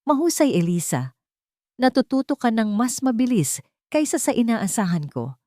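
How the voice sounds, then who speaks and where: A woman's voice: on the high side, big pitch swings, normal speed, dark tone, dry recording, voice pushed up. An encouraging Filipino lawyer and mentor, acted out by a woman narrator. The figure speaks approvingly in an audio drama, with a dry, close sound. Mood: proud.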